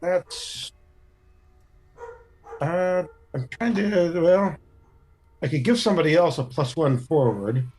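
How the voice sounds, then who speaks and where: A man's voice: on the high side, animated, slow pace, long pauses, a little mellow, slightly rough. A man playing a tabletop game, chatting casually and thinking his moves through aloud in a hesitant, rambling way.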